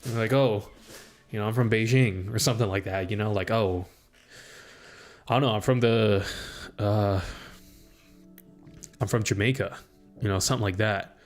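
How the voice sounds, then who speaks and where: A man's voice: lowish voice, moderate pace, long pauses. A young man rambles on mic, trailing off and restarting, with one "like" after another. He sounds like he is working his ideas out as he goes. Faint music plays under him. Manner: meandering, full of "like" and restarts, thinks aloud. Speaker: student streamer. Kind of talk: casual rambling monologue.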